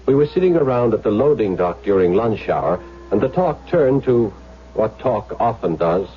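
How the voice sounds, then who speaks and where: An actor playing an earnest, sensitive young German man in a 1970s radio drama, with halting, emotionally intense delivery. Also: lowish voice, very animated. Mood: confiding. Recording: muffled, noisy vintage radio recording.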